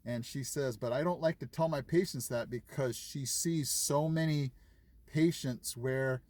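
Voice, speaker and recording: A man chatting casually to his viewers, retelling conversations with lots of fillers. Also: on the high side. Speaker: vlogger. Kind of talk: casual chat to camera.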